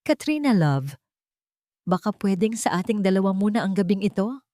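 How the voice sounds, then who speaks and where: A woman's voice: medium pitch, very animated, moderate pace, mellow, dry recording, studio quality, lightly acted. A woman voicing a devoted, fragile young man who speaks with trembling, pleading emotion in a romance audio story. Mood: gentle pleading.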